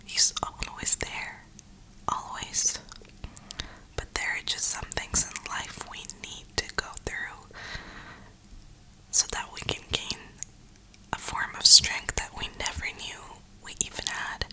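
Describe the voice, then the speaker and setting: A woman whispering a reflective, rambling ASMR monologue in a breathy, unhurried way, with drawn-out pauses. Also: bright, forceful tone, poor audio. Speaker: ASMR video creator.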